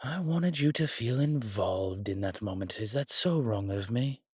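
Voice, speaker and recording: A man playing a strong, scholarly demon in a horror roleplay audio, explaining things in a deliberate, halting, pedantic way. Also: mid-range voice, expressive, slightly rough, dry recording, clean studio sound. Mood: defensive. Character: strong, scholarly male demon, exasperated occult lecturer. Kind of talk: immersive one-sided roleplay audio drama.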